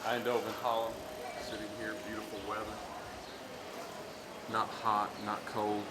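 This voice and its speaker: A man's voice: on the low side, completely flat delivery, a little slow. Chatty man vlogging on a noisy street. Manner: chatty, addresses viewers as friends. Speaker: travel vlogger. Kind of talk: casual walking-tour vlog. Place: outdoors in a city square. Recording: noisy outdoor street recording.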